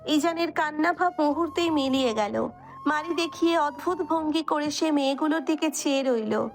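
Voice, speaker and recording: A woman narrates a romantic audio story in a high-pitched voice. She reads from a script, and music plays underneath her. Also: normal speed. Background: background music.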